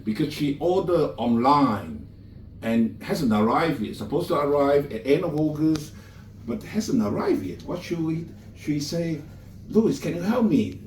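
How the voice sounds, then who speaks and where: A man's voice: on the high side, sing-song, a little slow, a little mellow. A man from Malaysia hosts a book launch, telling anecdotes in a chatty way with slightly non-native grammar. His mid-range voice is picked up from a distance, and the room echoes. A faint hum sits behind it. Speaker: master of ceremonies. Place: bookshop event room. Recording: roomy, from a distance.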